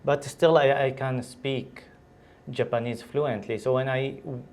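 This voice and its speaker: Syrian male doctor, English, halting casual interview answers. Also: medium pitch, fairly even intonation, a little mellow. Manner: hesitant, many restarts and fillers. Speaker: guest, physician.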